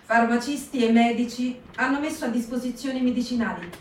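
A woman's voice: fairly high pitch, steady tone, a little mellow, slight background noise, natural voice. A woman voicing a townswoman telling of urgently warning a fugitive to flee. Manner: urgent, hurried storytelling. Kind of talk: stage reading for radio. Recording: echoey room, distant mic.

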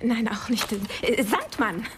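A woman's voice: on the high side, animated, measured pace, background noise. A woman playing a nervous, officious job agent in a fantasy radio drama, stammering politely and fussing. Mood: nervous, flustered.

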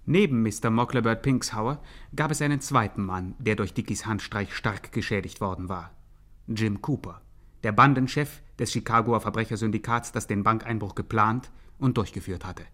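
Low-voiced male narrator. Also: moderate pace, fairly bright tone. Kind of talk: scripted radio play narration.

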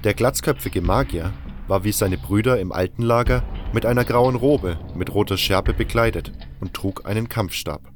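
Calm male audio drama narrator. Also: on the low side, normal speed. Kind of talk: first-person fan audio drama narration. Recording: long hall reverb, faint music.

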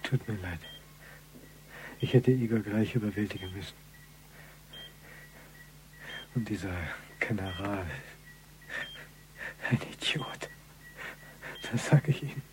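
An actor playing a dying criminal in a radio crime drama, a bitter, proud loner who speaks weakly in terse, halting, sardonic phrases. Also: male voice, on the low side, noisy background, lightly acted. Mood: weak regret.

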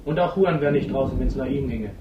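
Defiant teenage fisher boy played by a man, curt, quick delivery, radio drama with noisy, roomy sound. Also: medium pitch, close to an everyday voice. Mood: sullen. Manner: curt, challenging. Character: defiant, impatient fifteen-year-old fisherman's son. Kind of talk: German radio play acting. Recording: dull, noisy, echoey old radio recording.

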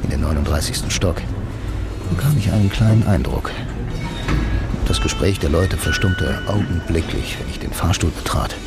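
Deep deadpan noir narrator. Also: male voice, moderate pace. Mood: deadpan, brooding. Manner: dry, deadpan, laconic. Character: sardonic blind male bank copywriter, a lonely self-styled noir hero. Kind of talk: first-person radio play narration. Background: music.

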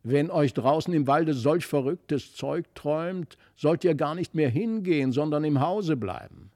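A strict, practical mother from a fairy tale, read by a man. She admonishes briskly in a mellow tone. The recording is close and dry. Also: a little high, expressive, no echo. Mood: scolding. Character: strict, practical mother scolding her children.

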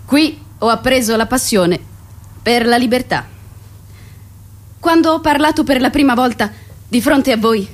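A woman's voice: a little high, expressive, measured pace, pauses often. A young woman acting a stage monologue as a proud, outspoken dreamer with a declamatory delivery. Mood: proud, defiant. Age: young adult. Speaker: theatre actress. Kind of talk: theatrical monologue. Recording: music under the voice.

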